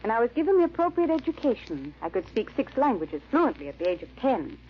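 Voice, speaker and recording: An actress playing a cold, proud European countess and murderess in a 1940s-style radio drama. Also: female voice, a little high, sing-song, quick pace. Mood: proud, matter-of-fact. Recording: muffled, noisy old-time radio recording.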